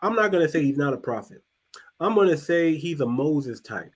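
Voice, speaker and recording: Man, casual talk show host, fairly high voice, repeats himself, drops low for emphasis. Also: big pitch swings, normal speed, breathy edge. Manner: loose and conversational, repeats and restarts words, drops pitch and volume for emphasis. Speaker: podcast host.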